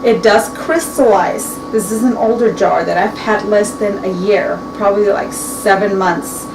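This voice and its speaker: A woman of about forty-two talks casually and chattily, often circling back and restarting her sentences. She is recorded by a camera mic set some way off in a kitchen that sounds echoey. A steady household hum runs clearly underneath. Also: mid-range voice, a little slow. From Mexican heritage. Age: 40s. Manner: chatty, rambling with frequent restarts and fillers. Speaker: beauty vlogger. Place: home kitchen, indoors. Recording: echoey room, distant mic, clear background noise.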